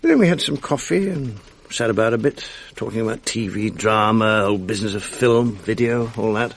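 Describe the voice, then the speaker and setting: A man's voice: on the low side, moderate pace. An actor playing an evasive middle-aged married man with a British accent in a naturalistic radio drama, speaking in an offhand, dryly understated way. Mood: offhand, casual. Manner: offhand, hesitant, dry understatement. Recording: faint hiss on archive radio recording.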